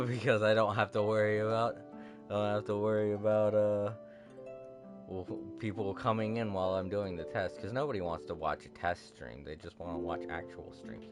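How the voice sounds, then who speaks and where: A sleepy man chatting casually on a livestream, rambling and halting with many fillers, self-deprecating. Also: lowish voice, fairly even intonation, over music. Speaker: streamer. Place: home room with a TV. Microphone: cardioid desk microphone.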